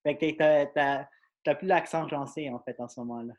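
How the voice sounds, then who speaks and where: A man's voice: a little high, steady tone, moderate pace, highs cut off. A man hosting a podcast, asking questions in a loose, informal way. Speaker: podcast host. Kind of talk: casual interview questions.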